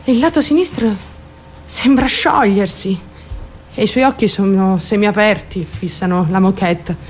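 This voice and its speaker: A woman's voice: mid-range voice, unhurried, slight background noise, close to an everyday voice. An actress playing a troubled, volatile young woman in a dramatic radio monologue, intense and raw as she recounts her traumatic story. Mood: dazed, unsettled. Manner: intense, swinging between outcry and hushed narration.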